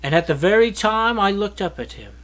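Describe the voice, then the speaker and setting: Male Bible reader, notably high voice, expressive scripted narration. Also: brisk, poor audio.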